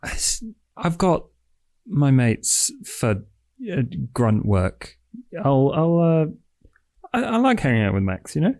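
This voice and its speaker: A man voicing an awkward young vampire in a tabletop game, halting and unsure. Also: medium pitch, very animated, darkish tone. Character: hesitant, awkward young male vampire sidekick. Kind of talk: tabletop roleplay in character.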